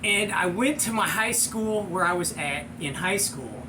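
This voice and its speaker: High-voiced American man telling animated stories over noise. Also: unhurried. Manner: excitable, slangy, chuckling storyteller. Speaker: show host and storyteller. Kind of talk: animated casual anecdote, with acted-out sound effects.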